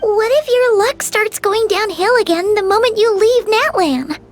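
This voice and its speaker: A woman voicing a tiny, childish, excitable fairy companion girl in an anime game, with a squeaky, exclamatory delivery. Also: measured pace, soft background music. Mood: cheeky. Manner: speaks of herself in third person, exclamatory. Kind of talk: scripted anime game voice acting.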